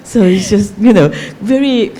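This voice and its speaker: A woman with a Thai accent, speaking English as a novelist on an academic panel, halting and searching for words with many fillers. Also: mid-range voice, mellow, poor audio. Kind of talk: panel discussion answer.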